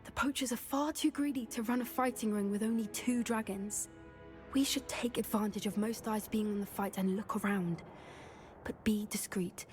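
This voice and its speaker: A woman's voice: mid-range voice, moderate pace, lightly acted. A woman playing an earnest, determined teenage witch with a British accent in a video game, speaking in a youthful, conspiratorial way. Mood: hushed, indignant. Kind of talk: video game dialogue acting. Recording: music bed behind the voice.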